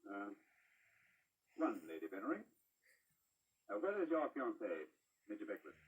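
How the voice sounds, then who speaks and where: A man playing an incisive, courteous gentleman detective, clipped and theatrical in old radio drama style. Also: on the low side, sing-song, measured pace, breathy edge, far from the mic, heavily acted, low-quality recording. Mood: insistent. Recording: old radio broadcast, played from afar.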